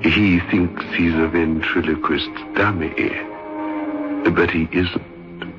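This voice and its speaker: A ghoulishly wry host of a radio horror show. His very deep voice crawls along with drawn-out, mock-polite courtesy. It is a dull old radio recording. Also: steady tone, music in the background. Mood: ominous, wry.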